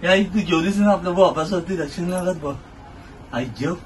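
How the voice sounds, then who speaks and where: A man's voice: high-pitched, moderate pace, natural voice. A man playing a poor young son in an amateur stage play, pleading insistently and anxiously with his family. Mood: tender, coaxing. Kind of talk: amateur one-act stage play acting. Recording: distant stage microphone, background noise.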